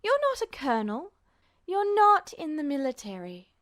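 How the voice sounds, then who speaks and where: A woman's voice: sits high, very animated, normal speed, fairly bright tone. A woman voicing a patronizing, sickly-sweet female nurse in a storybook, cooing as if to a small child. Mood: gently scolding.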